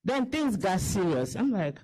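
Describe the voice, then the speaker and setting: A woman's voice: medium pitch, sing-song, unhurried, a little mellow, rough recording. A woman in her fifties gives a passionate, persuasive speech to a gathering as a diaspora campaign organizer. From Nigeria. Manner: impassioned, persuasive, pleading.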